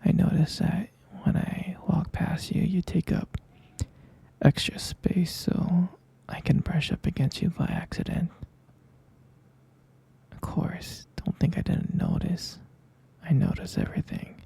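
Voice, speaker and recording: A man playing a mature stepfather in an ASMR roleplay, speaking in a breathy, husky half-whisper with a hesitant, confiding and concerned manner. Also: fairly high pitch, measured pace, mellow, full character voice. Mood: reproachful, confiding.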